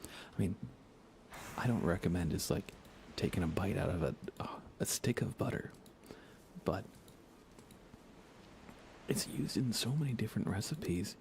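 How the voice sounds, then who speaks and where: A soft-spoken man chatting casually on an ASMR gaming livestream, rambling unhurriedly and often slipping toward a whisper. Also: low-pitched, animated, measured pace, gravelly, long pauses, a little hiss or hum. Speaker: livestreamer playing a video game.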